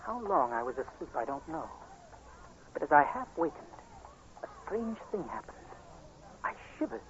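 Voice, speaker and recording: An anxious blind young man from an old radio play. His high voice swings widely with earnest, dramatic intensity, heard through a tinny, hissy 1940s recording. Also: sing-song, moderate pace, fairly bright tone. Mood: tense narration. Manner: dramatic, intense, emotionally urgent.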